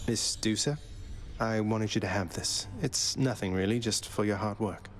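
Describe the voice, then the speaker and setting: Wry young underworld prince, low voice, dry and courteous, understated game dialogue; male actor. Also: moderate pace, faint music behind, natural voice. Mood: friendly.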